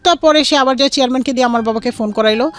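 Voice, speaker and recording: A woman's voice: high-pitched, rapid-fire, soft background music. A young woman with a Bangladeshi accent tells her life story in an interview, quoting conversations and mixing in English words.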